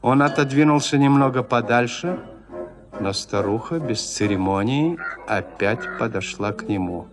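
A man narrating classic literature as an audio drama, with measured, expressive storytelling. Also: mid-range voice, over music.

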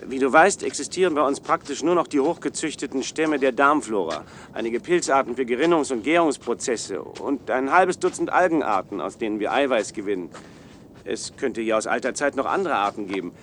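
A man's voice: mid-range voice, lively intonation, brisk, a bit bright, slight background noise, lightly acted. An actor playing an awkward, earnest young male biochemistry student in a science-fiction radio drama, with hesitant, apologetic delivery. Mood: earnest, eager.

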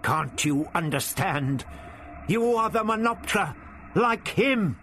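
A man voicing a determined adult male traveller who speaks urgently, often shouting. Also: on the high side, very animated, takes it slowly, a little hiss or hum, pitched above the performer's own voice.

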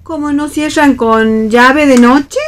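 A woman's voice: high voice, slow. A woman with an Argentine accent playing a scandalized, flustered mother of a grown daughter in a radio play reading, full of reproach. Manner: indignant, reproachful exclamations and questions.

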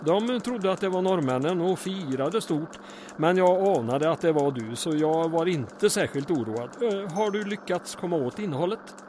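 A man's voice: a little high, expressive, darkish tone, a little hiss or hum, close to an everyday voice. A man playing a wry, composed wartime town mayor with a dryly polite manner in a comic audio drama. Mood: dry, unruffled.

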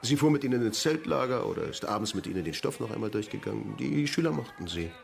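Casting: earnest male headmaster, formal and hesitant, creaky voice with swooping intonation, radio drama. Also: fairly low pitch, expressive, fast talker. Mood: earnest praise. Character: fussy, earnest school principal, resigned and formal. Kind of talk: German radio crime drama acting.

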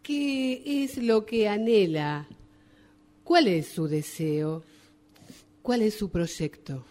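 Woman as solemn psychiatrist, unhurried, soft dark tone, radio comedy. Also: mid-range voice, expressive. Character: female psychiatrist, slow, solemn and probing. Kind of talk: comic radio drama acting.

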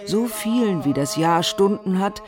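A woman giving solemn, deliberate scripted narration of a ritual in a radio drama. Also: medium pitch, expressive, music in the background.

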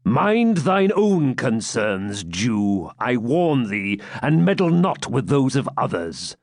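A cold-hearted baron lays down his terms with slow, stern menace, voiced by a man reading a novel aloud. His words drag deliberately and carry contempt. The recording is dry and close. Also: mid-range voice, big pitch swings, darkish tone, no echo, full character voice. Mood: menacing. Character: cruel, sarcastic male Norman baron.

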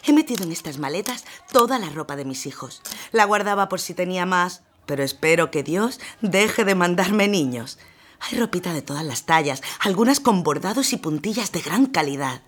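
A woman's voice: fairly low pitch, lively intonation, normal speed. An actress playing a well-off, middle-aged charitable lady in an audio drama, genteel and faltering. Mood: kindly. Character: well-off, hesitant charitable lady, mother of six.

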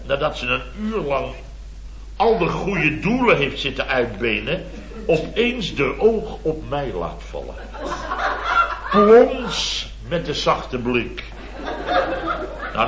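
An actor playing a blustering, indignant middle-aged married contractor, telling a rambling, exasperated comic anecdote in a radio serial. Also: male voice, on the high side, very animated, takes it slowly, a crowd in the background. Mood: flustered, indignant. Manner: exasperated, rambling, mimics others mockingly. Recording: muffled old radio, echoey, audience laughter.

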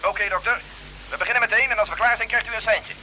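A dutiful space crewman with a very bright, sharp, high voice. He rattles off formal, insistent reports at a quick pace in old radio-drama style. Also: fairly even intonation, low-quality recording. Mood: urgent, dutiful. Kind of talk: old Dutch radio play acting. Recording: vintage radio recording, faint noise and hum.

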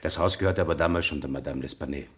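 A tobacconist testifying in a radio drama. His voice is very deep, and he speaks formally, pondering as he recalls what he knows. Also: very fast. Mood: deliberate, recollecting. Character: deliberate French tobacconist giving witness testimony. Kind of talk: German radio play acting.

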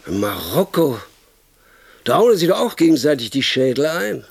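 Pushy excitable movie pitch man, radio play, rambling n insistent, really animated pitch swings, dry studio sound. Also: moderate pace, gravelly, slightly rough, no echo, exaggerated voice. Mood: eager, pushy. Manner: rambling, insistent, self-interrupting, swinging into emphatic outbursts. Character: brash, pushy male film idea man, tactless and excitable. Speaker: film pitcher. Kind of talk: radio drama monologue, colloquial film pitch.

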